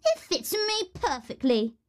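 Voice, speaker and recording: Lady putting on a horrid spoiled storybook stepsister, high shrill voice, boastful and haughty. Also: huge pitch swings, unhurried, exaggerated voice.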